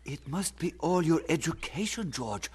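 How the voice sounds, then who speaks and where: A man playing an eager, impressionable young friend of a preacher in an audio drama, talking with excitable admiration. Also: on the high side, normal speed, breathy edge. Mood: excited admiration.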